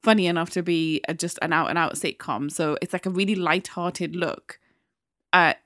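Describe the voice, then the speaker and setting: A woman's voice: on the low side, fairly even intonation, moderate pace, a bit bright, dry recording, clean studio sound. A young woman co-hosting a casual podcast discussion, talking frankly and with animation. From Britain. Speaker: podcast co-host.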